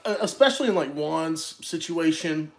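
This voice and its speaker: A young man speaks earnestly in a casual chat, often repeating a word as he gets going. His voice is a touch higher than most men's. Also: animated, moderate pace, low-quality recording. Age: young adult. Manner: earnest, repeats words when starting. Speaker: podcast co-host.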